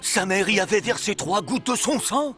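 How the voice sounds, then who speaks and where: A man's voice: high voice, animated, moderate pace, bright, forceful tone, a little hiss or hum. A man voicing an earnest, worried and loyal talking horse in a French cartoon dub. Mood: earnest, sad.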